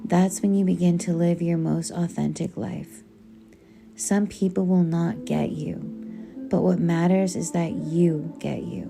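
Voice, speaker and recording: A woman reading a book aloud in a soothing audiobook style, with measured, deliberate and gentle delivery over music. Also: fairly low pitch, steady tone, vocal fry. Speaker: book reader. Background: background music.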